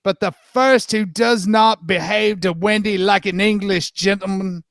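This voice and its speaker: A man voicing a silly Lost Boy turned solemn and dignified, speaking in a grand, earnest, deliberate manner. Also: high-pitched, steady tone, a bit bright, no room sound, clean studio sound. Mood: solemnly threatening.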